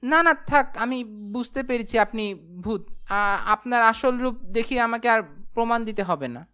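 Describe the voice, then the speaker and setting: High, clear-voiced timid young lad played by a woman. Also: moderate pace, pushed and bright. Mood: nervous. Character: timid, naive, self-pitying young man, foolish but polite. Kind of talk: first-person cartoon storytelling and dialogue.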